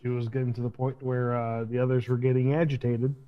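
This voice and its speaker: A man's voice: medium pitch, flat delivery, fairly quick, clear, resonant tone, dull audio, lightly acted. A man playing a captured, unrepentant henchman in a game roleplay, giving guarded, casual, matter-of-fact answers over voice chat. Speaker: role-player in an online game. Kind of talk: improvised roleplay interrogation.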